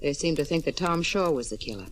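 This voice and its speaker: A woman's voice: low voice, expressive, fast. A woman playing a cool, haughty widow under suspicion in a 1940s radio drama, composed and dismissive. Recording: noisy old radio recording.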